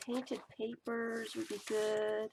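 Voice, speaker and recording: A woman crafting and talking to herself as she works, her voice going hoarse. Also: fairly high pitch, flat delivery, slow pace, background noise. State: voice giving out, hoarse. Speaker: collage journaling vlogger. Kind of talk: casual running commentary while crafting.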